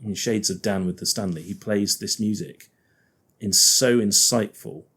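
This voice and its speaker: A man with a Liverpool accent talks casually as a podcast guest, his low voice slightly husky. He laughs often and thinks out loud, restarting sentences as he goes, with plenty of lift when something excites him. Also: moderate pace. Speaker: guest organist.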